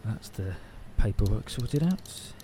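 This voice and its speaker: An actor playing a patient but evasive council housing officer with a British accent and a bureaucratic, hedging manner. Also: male voice, lowish voice, expressive, takes it slowly, mellow, slight background noise. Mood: businesslike. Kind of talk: audio play dialogue.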